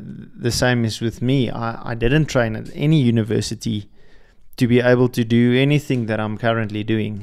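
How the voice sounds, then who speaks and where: A man's voice: medium pitch, gravelly, a little mellow, clean tone. A young adult man whose first language is Afrikaans, hosting a podcast and reflecting alone in a hesitant way with many restarts. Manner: hesitant, with frequent restarts and fillers. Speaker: podcast host. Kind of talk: solo reflective monologue.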